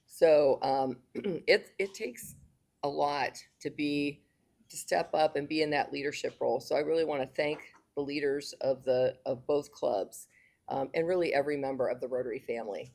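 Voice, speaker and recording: A woman's voice: low-pitched, gravelly, a little mellow. A woman serving as district governor, giving a speech at a club meeting, hesitant with many fillers and restarts, and losing her voice.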